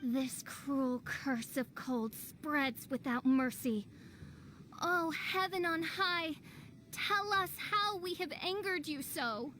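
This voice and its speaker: A woman's voice: sits high, slow pace, bright, forceful tone, faint music behind, heavily acted. A woman voicing a theatrical teenage gothic princess in a video game, haughty, pompous and declaiming in archaic language. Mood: dramatic. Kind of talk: video game character acting.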